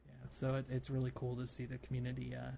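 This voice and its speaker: A man co-hosting a casual gaming podcast, talking in a halting, rambling way with repeated words. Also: medium pitch, monotone, vocal fry, dark tone, slight background noise. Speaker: podcast co-host.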